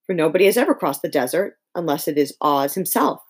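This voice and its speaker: Casting: timid storybook soldier, read by a woman, explanatory, plain-spoken, with varied pitch. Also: lively intonation, fast, slightly rough. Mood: uncertain. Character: timid male soldier with green whiskers, informative.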